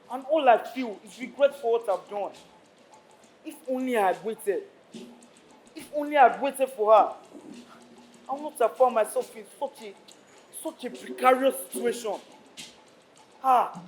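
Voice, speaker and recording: A man with a Nigerian accent acting a proud, troubled Yoruba king in a declamatory stage style. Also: very high voice. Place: stage of a live play. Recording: noisy live stage recording.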